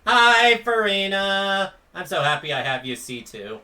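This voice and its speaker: A man's voice: high voice, very animated, a little slow, sharp tone. A man giving casual live commentary on a video game and chatting with viewers. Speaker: streamer reacting to a video game. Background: game music.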